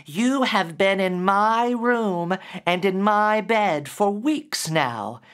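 Brief: narrator doing a sly little grief demon for a storybook, hissing, drawn-out, pitched high and strange. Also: animated, a bit bright, clean tone. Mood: knowing. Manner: hissing, slow and knowing. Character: sly male grief demon, small, mocking.

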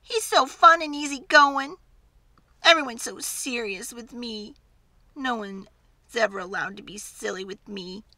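A woman's voice: fairly high pitch, a little slow, pushed and bright, no room sound, pitched above the performer's own voice. A woman voicing an envious storybook table knife, complaining emphatically and longing to be silly.

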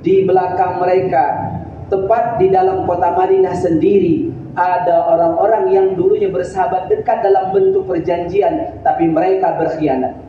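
A man's voice: sits high, level tone, moderate pace, a little hiss or hum. A man in his thirties giving a Friday sermon, telling a story with earnest, rising emphasis, recorded on a webcam. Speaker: Friday preacher. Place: room in front of a green-screen backdrop. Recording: distant, very roomy, dull lo-fi webcam sound.

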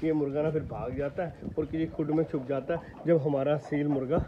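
A young man vlogging his poultry yard on a phone held at arm's length, chatting and calling out loudly to his birds. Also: fairly high pitch, fairly even intonation, brisk. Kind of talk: casual selfie vlog. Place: rooftop poultry yard outdoors, light rain. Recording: dull phone audio with outdoor noise.

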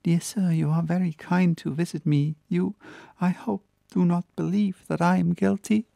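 Man voicing a gentle condemned young woman, raised pitch. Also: little pitch movement, normal speed, creaky, dry recording. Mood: pleading. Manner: earnest, tender, pleading delivery. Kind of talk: dramatic audiobook reading.

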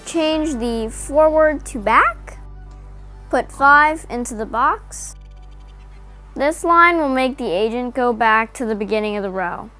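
Slow, high-voiced woman giving instructions. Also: lively intonation, sharp tone. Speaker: coding tutorial narrator. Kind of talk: step-by-step instructional voice-over. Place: voice-over over screen recording. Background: faint background music.

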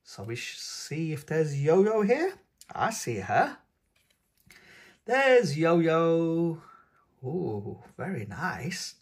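Husky, lively children's book reader, man. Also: a little high, drawn-out words, pauses often. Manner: sing-song, coaxing tone aimed at toddlers. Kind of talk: reading a picture book aloud to young children. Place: indoors at a tabletop, hands showing a book.

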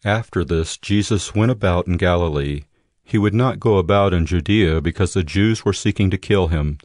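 Low male voice, dry close sound, scripted Bible narration. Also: moderate pace, darkish tone, no echo.

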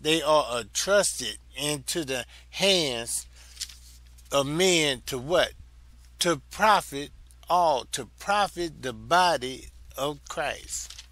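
Older Southern US man, church Bible teacher, slow and bright-toned, dry close sound. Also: fairly high pitch, no room sound. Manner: deliberate, repeats phrases for emphasis. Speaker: Sunday school teacher.

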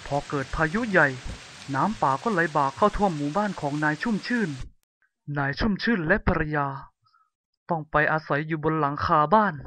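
Lively bright storybook narrator. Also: mid-range voice, no room sound. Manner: lively, expressive telling.

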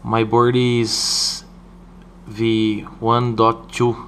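A man from Brazil with a Brazilian Portuguese accent, walking through a hardware setup in halting English, stopping often to ask "okay?". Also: mid-range voice, completely flat delivery, fairly bright tone, slight background noise. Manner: halting, with many "uh" and "okay?" checks. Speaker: hobbyist showing a hardware setup. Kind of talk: hands-on tutorial walkthrough. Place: indoor room at a desk.

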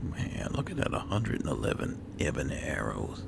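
Very deep gravelly man, game commentary. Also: huge pitch swings, moderate pace, heavy background noise. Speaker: gaming video host. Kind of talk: casual let's play commentary.

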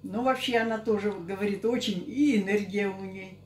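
A woman of sixty-seven giving halting, unscripted interview answers, often repeating herself. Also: medium pitch, a loud crowd. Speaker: interviewee giving a product testimonial. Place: small curtained indoor office. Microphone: camera a couple of metres away. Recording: echoey room.

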